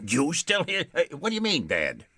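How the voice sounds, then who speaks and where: An actor playing a smug, sarcastic police lieutenant in a detective radio drama, with a bossy, wisecracking manner. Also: male voice, a little high, wildly swooping pitch, normal speed, pushed and bright, no echo, full character voice. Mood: brisk, sardonic.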